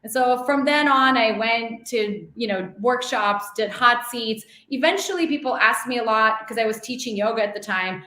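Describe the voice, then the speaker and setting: A woman's voice: on the high side, normal speed, few pauses, pushed and bright, echoey room. A woman in her thirties from Russia, a guest telling her life story in long flowing runs, with frequent laughs. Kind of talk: conversational storytelling.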